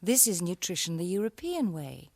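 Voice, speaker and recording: Wry sardonic narrator for radio satire, lowish voice, lots of inflection. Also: female voice, sing-song, moderate pace, a little mellow. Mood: scornful. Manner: wry, rhetorical. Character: sardonic, sceptical narrator commenting on those in power.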